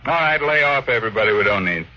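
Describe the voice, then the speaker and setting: Forceful, sharp-edged ruthless tycoon. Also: male voice, on the low side, lively intonation, fast. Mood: commanding, impatient. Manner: curt, commanding, imperious. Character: ruthless, obsessed, domineering millionaire tycoon. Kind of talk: old-time radio drama acting. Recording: dull, noisy vintage radio recording.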